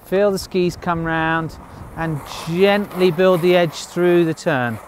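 A man's voice: high voice, slow, faint music behind. A man in his thirties giving a ski lesson outdoors on a snowy slope, explaining in a plain, upbeat way with light jokes. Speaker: ski instructor.

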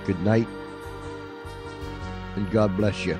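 Male radio host, lowish voice, folksy casual sign-off delivery, repeats himself for emphasis, soft music bed. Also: fairly even intonation, takes it slowly. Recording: music under the voice.

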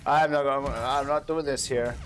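A man's voice: mid-range voice, steady tone, moderate pace, fairly bright tone. A man giving casual gameplay commentary, rambling and thinking aloud as he hosts. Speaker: gaming video host. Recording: clear background noise, game sound. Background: video game ambience.

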